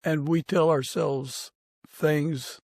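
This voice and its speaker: Slow, higher-pitched man with vocal fry. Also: steady tone, a little mellow, no echo, studio quality. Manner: deliberate, measured phrasing. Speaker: podcast host. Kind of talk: conversational interviewing.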